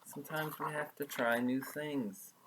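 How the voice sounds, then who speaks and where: Slow, patient male preschool storybook reader. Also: a little high, fairly even intonation, noisy background. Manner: patient, explanatory, repeats key words. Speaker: preschool teacher. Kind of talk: children's storybook read-aloud with simple explanations.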